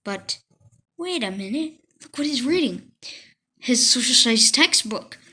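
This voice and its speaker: A woman plays an excitable schoolboy in a children's storybook. The voice is high and bright, cheeky and quick to panic, full of dramatic exclamations. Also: breathy edge, exaggerated voice. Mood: panicked.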